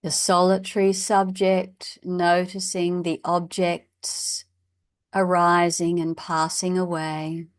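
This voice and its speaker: A woman meditation teacher speaks very slowly in a slightly low voice. She gives measured instructions and leaves long pauses between phrases. The recording is dry and close, with no room sound. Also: flat delivery, dry recording. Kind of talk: guided meditation.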